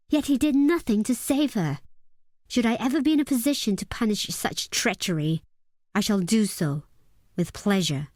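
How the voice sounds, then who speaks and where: A woman playing a fierce, proud and bitter desert tribeswoman in a video game, speaking in solemn, deliberate, formal phrases. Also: lowish voice, a little mellow, no echo, studio quality, lightly acted. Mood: cold, vengeful. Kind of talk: scripted video game character dialogue.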